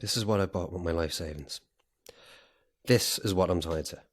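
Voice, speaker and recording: An actor playing a frustrated, defensive boyfriend in a naturalistic audio horror drama. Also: male voice, deep voice, normal speed. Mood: frustrated, bitter. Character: frustrated boyfriend tied to an unfinished house, defensive.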